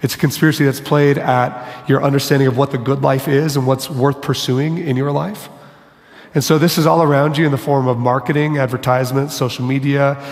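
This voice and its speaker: A pastor preaching a sermon in church, casual and self-deprecating, whose voice is going hoarse. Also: male voice, medium pitch, steady tone, fairly quick. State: losing his voice. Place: church sanctuary. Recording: long hall reverb.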